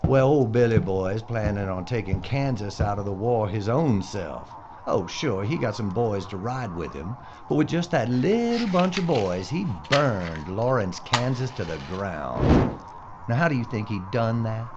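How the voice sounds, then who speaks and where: A man plays a flesh-eating Western outlaw in an audio horror play. He has a low voice that swoops up and down, and he drawls his taunts slowly. The recording is muffled and lo-fi, with a steady hiss behind it. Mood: taunting. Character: menacing male cannibal Wendigo outlaw villain.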